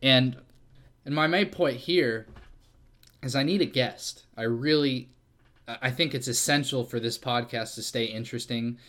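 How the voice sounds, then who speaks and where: A man's voice: mid-range voice, a little slow. A man hosting a casual solo podcast, rambling and self-deprecating, with plenty of fillers and swearing. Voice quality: fairly monotone delivery. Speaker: podcast host.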